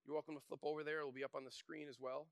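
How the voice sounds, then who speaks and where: A man preaching a sermon as pastor, telling stories in an animated way and speaking with earnest emphasis. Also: on the high side, rapid-fire, dry recording, studio quality. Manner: animated and shouting in the story, then quiet and earnest. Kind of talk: storytelling sermon. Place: church.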